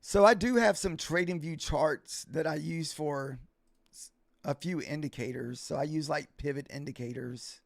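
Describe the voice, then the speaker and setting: Male day-trading streamer, creaky and slightly hoarse, informal halting commentary, close dry sound. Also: on the high side, dry recording. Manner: informal, halting, thinking aloud. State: voice starting to get hoarse. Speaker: livestreaming futures day trader and host. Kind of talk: casual live trading commentary, answering viewers.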